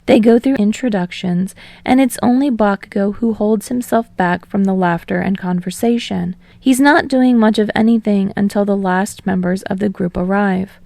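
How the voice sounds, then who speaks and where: A woman narrates scripted fiction in a mid-pitched voice with noticeable vocal fry. She reads as an audiobook storyteller, and the sound is dry and close. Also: normal speed, hardly any pauses, mellow, no echo.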